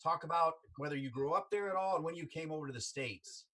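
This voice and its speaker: A man's voice: on the high side, fast, pushed and bright. A man hosting a casual sports interview, chatty and upbeat as he asks questions. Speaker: interview host.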